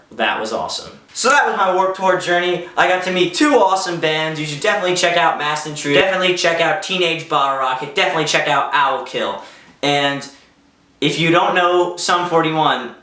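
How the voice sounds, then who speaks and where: A young man telling a personal story in a casual vlog, with an excitable manner and acted-out quotes. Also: on the high side. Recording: roomy echo, distant microphone.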